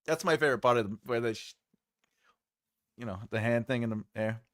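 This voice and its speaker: A man's voice: medium pitch, brisk, a bit bright, no echo, studio quality. A man hosting a writing livestream, chatting casually and jokingly as he reads and answers viewer comments, rambling with lots of fillers.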